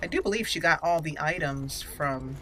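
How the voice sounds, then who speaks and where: A woman doing casual roleplay game commentary as a channel host, thinking aloud deliberately and reading out menu items. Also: sits low, lively intonation, sharp tone. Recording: noticeable background noise and game ambience.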